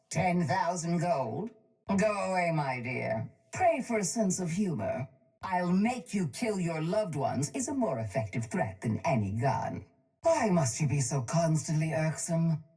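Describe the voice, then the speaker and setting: An actress playing a cold, posh, ruthless crime-boss tycoon in a video game, speaking in a haughty, deliberate, menacing way. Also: female voice, lowish voice, big pitch swings, warm tone, soft background music. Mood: cool, menacing. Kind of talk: video game character voice lines.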